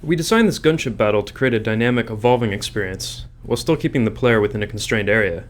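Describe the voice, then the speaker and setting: A man's voice: lowish voice, fast talker. A man reading scripted commentary as a game developer.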